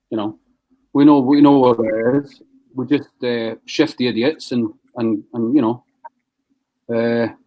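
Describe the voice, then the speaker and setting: A man hosting a live online show, ranting in a blunt and combative way with plenty of swearing. His pitch sits in a plain middle range. He talks like a small business owner venting to his viewers. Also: a little mellow, rough recording. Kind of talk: profane ranting on a live stream.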